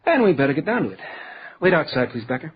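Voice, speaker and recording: Husky, commanding young judge. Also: male voice, fairly high pitch, very animated, fast, dull audio. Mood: urbane, courteous. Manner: curt, authoritative, dry. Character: young male judge, commanding, testy, dryly courteous. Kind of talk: detective radio drama acting.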